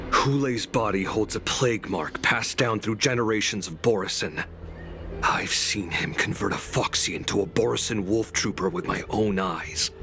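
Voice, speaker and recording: An actor voicing a grim, defeated warrior in a video game, grave, strained and gravelly. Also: male voice, lowish voice. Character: grim, defeated male warrior reporting his failure. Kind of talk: video game cutscene acting. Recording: music behind the voice.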